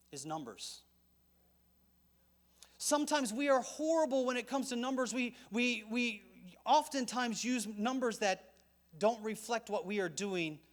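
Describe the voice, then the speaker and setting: Middle-aged male conference speaker, high voice, conversational and wry, speaking to a church crowd. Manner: conversational and joking, with asides. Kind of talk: conference talk to a church audience.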